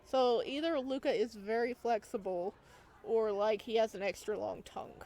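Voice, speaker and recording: A woman's voice: on the high side, normal speed, warm tone. A woman reading a visual novel aloud on her gaming channel, with chatty, informal asides as commentary. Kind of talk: casual let's-play read-aloud of a visual novel with commentary.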